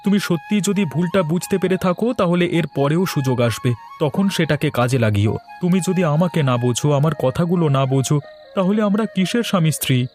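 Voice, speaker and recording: Man acting higher-pitched, fretful young husband. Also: animated, brisk, a little mellow, soft background music, close to an everyday voice. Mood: earnest. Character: frugal, worried young newlywed shopkeeper husband. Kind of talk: Bengali cartoon voice acting.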